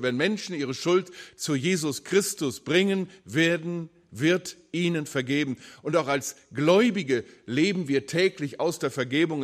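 A man preaching a sermon, earnest and emphatic, slowing and dropping his voice low on key words.

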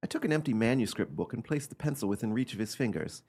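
A man playing an eccentric gentleman who recounts an uncanny family tale in a theatrical, emphatic radio drama style. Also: medium pitch, very animated, quick pace, slightly rough. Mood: intrigued, ominous.

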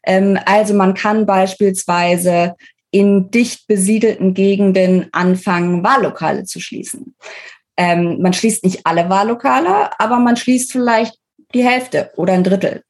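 A woman with a German accent and a somewhat husky voice at a middling pitch speaks as a podcast guest. She explains in an informal, emphatic way, thinking aloud and rephrasing as she goes. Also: unhurried. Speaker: interview guest, author. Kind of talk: conversational expert explanation in a podcast interview.

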